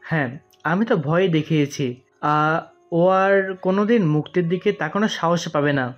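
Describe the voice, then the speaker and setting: A woman acts a blunt young man with a quick, protective temper in an audio story. The voice is lowish, the sound slightly muffled, with music faintly behind. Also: natural voice. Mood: indignant, defiant. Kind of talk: audio-story dialogue acting.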